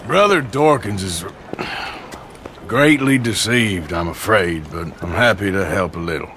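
Forceful, wry outlaw gunslinger. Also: male voice, low voice, a little slow, slight background noise. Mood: wry, polite. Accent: American. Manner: dry, laconic, self-deprecating. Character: gruff, wry, world-weary male outlaw gunslinger. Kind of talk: cinematic video game acting.

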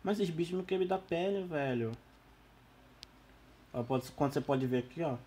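A Brazilian man who is losing his voice, giving casual, slangy, excitable gameplay commentary. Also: fairly high pitch, moderate pace, a little hiss or hum. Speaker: gaming YouTuber.

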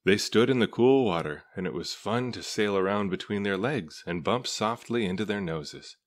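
A man with a low voice narrates a children's storybook. He reads aloud in measured, deliberate phrases. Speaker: storybook reader.

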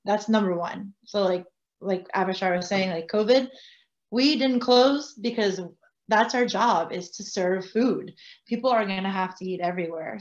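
Casting: woman in her forties, non-native English speaker, lively restaurateur, rambling, slightly dull call audio. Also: medium pitch. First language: French. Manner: animated, gestures, rambling asides. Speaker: bakery and restaurant owner. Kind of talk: casual panel talk. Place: home room, indoors. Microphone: video call.

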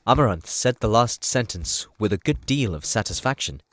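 A man's voice: on the low side, lively intonation, normal speed, fairly bright tone, no room sound. A man giving scripted story narration for an audio drama. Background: music.

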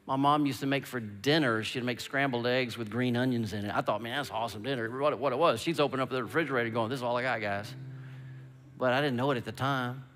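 A man's voice: mid-range voice, a bit bright. Emphatic preaching man in his fifties. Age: 50s. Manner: emphatic, calls out "come on" and "amen". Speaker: pastor. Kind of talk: sermon. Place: large church stage. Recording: long reverb of a large hall.

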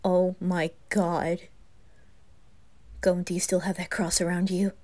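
A woman playing a cool-headed, protective boy with a measured, hesitant manner in a fan roleplay drama. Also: on the low side, flat delivery, darkish tone. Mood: wary.

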